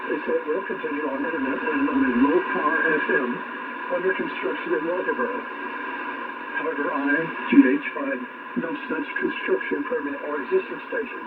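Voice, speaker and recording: A man's voice: on the high side, fairly quick. Thin narrow-band shortwave sound, heavy static, male radio host, matter-of-fact scripted news reading.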